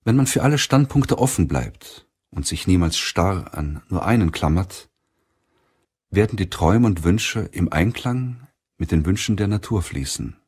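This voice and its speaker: A man reading a self-help audiobook in a measured, deliberate way with pauses. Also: sits low, animated, darkish tone, slightly rough. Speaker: audiobook narrator. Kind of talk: scripted audiobook narration of a self-help book.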